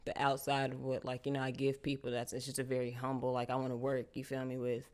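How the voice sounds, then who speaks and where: A young woman from Charlotte, a guest artist talking casually in an interview, animated and full of slang. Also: very deep voice, fast. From United States, Charlotte. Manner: animated, casual slang, frequent "you feel me".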